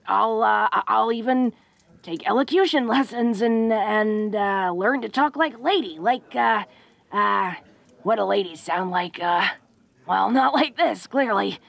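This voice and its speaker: A woman's voice: mid-range voice, unhurried, creaky. A woman playing a tough, protective, secretly awkward young orc woman in an intimate audio roleplay, with rustic slangy speech and a bashful stammer. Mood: awkward, earnest.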